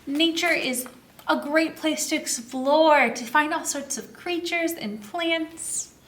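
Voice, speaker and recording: A woman's voice: high-pitched, takes it slowly, a bit bright, echoey room, slight background noise. A woman hosting a children's story time, reading aloud and explaining in a sing-song, exclaiming way with questions she answers herself.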